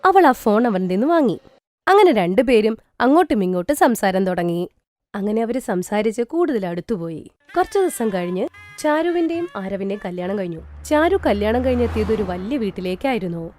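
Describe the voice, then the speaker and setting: Lively woman storyteller. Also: mid-range voice, moderate pace. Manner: lively, expressive. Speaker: narrator. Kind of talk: storybook narration for a cartoon.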